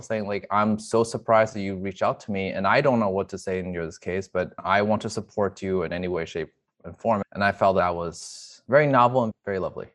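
A man giving a reflective, earnest personal account as an interview guest. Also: lowish voice. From United States immigrant family from China. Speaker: guest, emergency physician.

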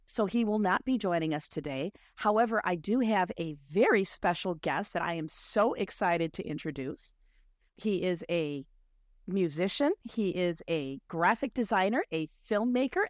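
A woman hosting a podcast, hoarse from illness, talking with enthusiasm. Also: medium pitch, no echo, clean studio sound. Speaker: podcast host. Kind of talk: casual interview chat.

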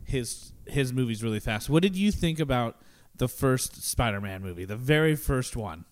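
A man's voice: medium pitch, animated, moderate pace. A man co-hosting a podcast at home, chatting casually and rambling with many fillers, close to a shared handheld mic. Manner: rambling, lots of fillers and restarts. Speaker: podcast co-host. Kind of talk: casual chat. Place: at home with a cat. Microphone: shared handheld mic held close.

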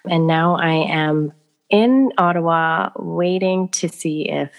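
A woman with a low, deep voice chats easily as a podcast guest, laughing often between thoughts. She was raised in Canada and speaks over a remote call. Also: slow pace, creaky. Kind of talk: casual conversation.

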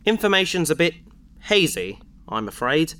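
A man's voice: a little high, very animated, normal speed, pushed and bright. A man playing an earnest, bookish, idealistic space adventurer, explaining with enthusiasm in a sci-fi audio drama. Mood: thoughtful.

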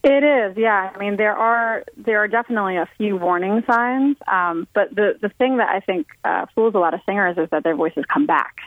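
A woman's voice: mid-range voice, moderate pace, few pauses, dry recording. A woman singer talking casually in an interview, chatty with frequent ums and restarts. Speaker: guest singer. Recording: tinny phone-line audio.

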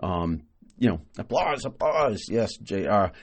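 A man's voice: on the low side, very animated, a little slow. Chatty man. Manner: casual, rambling with many fillers and restarts; enthusiastic, praising. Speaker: podcast host. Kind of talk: solo podcast monologue on marketing.

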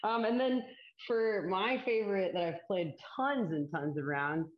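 A young woman rambling happily as a guest in a casual chat, quick to laugh between thoughts. Her voice comes through a dull, lo-fi connection with a roomy echo around it, the pitch sitting mid-range for a woman. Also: lively intonation. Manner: chatty, bubbly, laughs often. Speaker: guest, disc golf player and commentator. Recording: echoey, dull lo-fi call audio.

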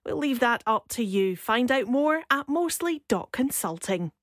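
A woman reading a short scripted podcast intro slowly. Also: on the high side, expressive. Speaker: show announcer.

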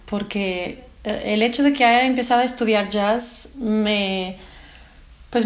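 A woman's voice: fairly high pitch, echoey room. A woman from Portugal whose first language is Portuguese, a singer answering interview questions thoughtfully while searching for words. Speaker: singer and guest.

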